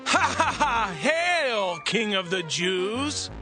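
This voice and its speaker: A mocking Roman soldier, high and wildly swooping. He stretches his words out with scorn in a scripture audio drama. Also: sing-song, music in the background, full character voice. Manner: drawn-out, scornful.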